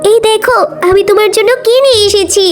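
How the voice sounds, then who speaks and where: A woman's voice: very high-pitched, steady tone, fast talker. A woman voicing a timid, pleading orphan girl in a children's cartoon. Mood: hopeful, pleading. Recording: loud music behind.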